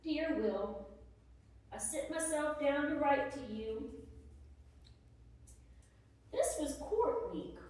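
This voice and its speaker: Woman acting as Civil War soldier's wife, unhurried, very echoey, homely, practical, worried, stage reading of letters. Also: fairly high pitch. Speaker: reader at a historical presentation. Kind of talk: dramatic reading of historical letters. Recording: echoey room, distant microphone.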